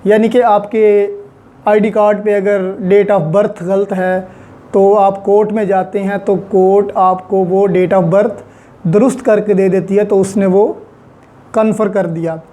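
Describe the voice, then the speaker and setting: A law lecturer with a Pakistani accent explains terms deliberately, repeating them and mixing in English legal vocabulary. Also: medium pitch, flat delivery, dark tone.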